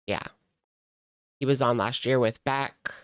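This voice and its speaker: Slow-paced, gossipy commentator with a clear voice. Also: medium pitch, steady tone, dry recording, clean studio sound. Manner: gossipy, sardonic, conversational. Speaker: commentary streamer reacting to videos and chat. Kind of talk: casual reaction commentary.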